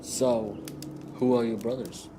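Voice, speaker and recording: A man's voice: mid-range voice, fairly even intonation, slow, close to an everyday voice. A man playing a polite interviewer who asks questions in an amateur audio play. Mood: curious. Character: polite male interviewer questioning a young woman. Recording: noisy amateur home recording.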